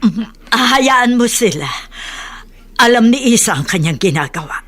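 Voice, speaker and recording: Older household woman, radio drama: lively intonation, somewhat high voice, flustered and tolerant. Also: unhurried. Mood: concerned. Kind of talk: Filipino radio drama acting.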